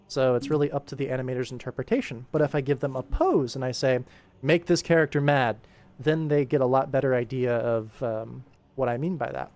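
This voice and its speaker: Mid-pitched man casually explaining his work. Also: a little mellow. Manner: explanatory, thinking aloud. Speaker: animation director streaming his work. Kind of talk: casual livestream work commentary.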